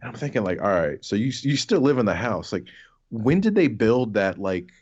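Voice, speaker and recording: A man's voice: fairly low pitch, sing-song, normal speed, a little mellow, breathy edge, highs cut off. A man co-hosting a podcast with casual comic rants, rambling, sardonic and sweary, stammering and repeating words. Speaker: podcast co-host. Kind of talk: casual comic ranting chat.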